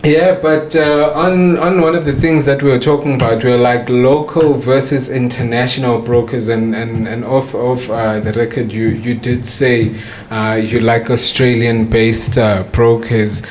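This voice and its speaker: A man's voice: medium pitch, flat delivery, a little hiss or hum. A man who trades for a living, talking in a casual podcast conversation and stopping often to restart his sentences. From South Africa. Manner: halting, many restarts and fillers. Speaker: forex trader.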